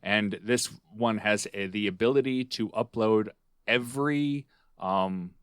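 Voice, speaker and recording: A middle-aged man co-hosting a podcast, chatting casually in a halting, rambling way. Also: on the low side, fairly bright tone, clear, resonant tone, no echo, clean studio sound. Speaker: podcast co-host.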